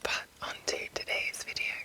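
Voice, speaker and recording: A woman hosting ASMR, chatting and reading aloud in a soft, breathy, unhurried whisper. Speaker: ASMR host.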